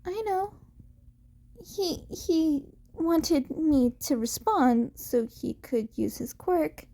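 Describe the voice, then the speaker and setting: A woman voicing a guarded, snarky and awkward teenage boy vigilante in an audio story, with a stammering, hurried delivery. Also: sits high, lively intonation, warm tone, pitched above the performer's own voice, heavily acted. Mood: nervous, placating.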